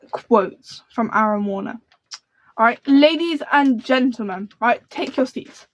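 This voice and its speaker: A young woman who speaks in a soft, quiet voice, chatting to camera about books in a rambling, gushing way. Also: high voice, a little hiss or hum, rough recording. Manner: rambling and gushing, full of fillers. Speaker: book vlogger. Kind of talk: casual book chat to camera.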